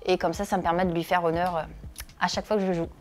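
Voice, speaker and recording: A woman's voice: on the low side, little pitch movement, racing, loud music behind the voice. A woman in her thirties from northeastern France, a comedian answering interview questions, hesitant with many filler sounds. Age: 30s. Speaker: comedian and video creator.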